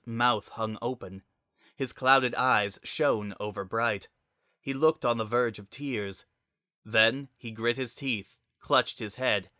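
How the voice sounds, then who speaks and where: A man's voice: medium pitch, sharp tone, no echo, studio quality. A man reading a scripted story aloud as a dramatic narrator.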